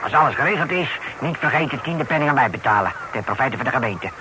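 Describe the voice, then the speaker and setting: An actor playing a stubborn, dismissive local official who talks in clipped, telegram-like phrases in an old radio play. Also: male voice, medium pitch, animated, quick pace, noisy background, natural voice. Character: curt, stubborn male local official, deputy of the bailiff.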